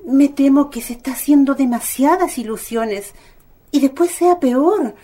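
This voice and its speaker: A woman's voice: sits high, unhurried, warm tone. A woman playing an anxious, caring mother in a radio drama. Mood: worried.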